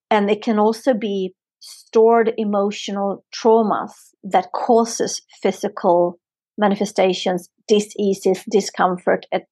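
A woman's voice: mid-range voice, darkish tone, no echo. Swedish-accented woman, earnest and flowing. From Sweden. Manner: earnest, flowing, repeats herself for emphasis. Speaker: yoga therapist and physiotherapist guest. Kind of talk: podcast interview answer.

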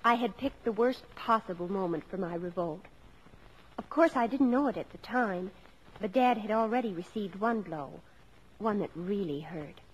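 A woman's voice: medium pitch, expressive, normal speed, breathy edge, poor audio. An actress playing a rebellious, melodramatic teenage daughter who also narrates her memories in an old radio drama. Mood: wry, reflective. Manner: earnest, melodramatic, defiant. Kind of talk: 1950s radio acting. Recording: old radio broadcast with music.

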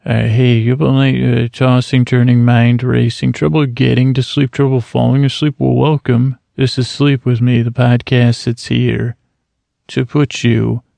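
A man's voice: mid-range voice, flat delivery, measured pace, mellow, dry recording. A man hosting a sleep podcast, meandering through a self-deprecating ramble meant to lull listeners to sleep. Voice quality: creaky. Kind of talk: rambling bedtime talk.

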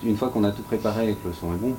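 Male panelist, low register, hesitant conversational delivery, noticeable ambient noise. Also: rapid-fire. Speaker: sound professional on an expert panel. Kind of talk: spontaneous panel discussion. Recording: clear ambient room noise.

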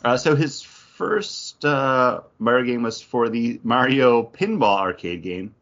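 A man's voice: mid-range voice, animated, slow, fairly bright tone, muffled recording. A man hosting a casual geek-news discussion, speaking in an opinionated, conversational way. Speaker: podcast host.

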